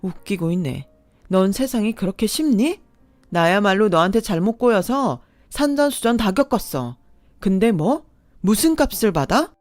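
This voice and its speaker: A woman's voice: mid-range voice, animated, moderate pace, darkish tone, no room sound, soft background music, natural voice. A woman voicing her younger self, an angry young single mother who answers back sharply.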